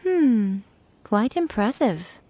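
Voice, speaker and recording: An actress playing a sly, elegant, teasing fox shrine maiden in a video game, speaking with coy, poised amusement. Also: female voice, fairly high pitch, very animated, takes it slowly, dark tone, a little hiss or hum. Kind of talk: English video game voice acting.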